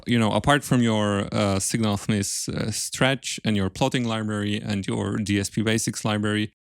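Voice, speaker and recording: A man's voice: medium pitch, dry recording, clean studio sound. A man hosting a casual podcast interview, asking questions politely and a little hesitantly.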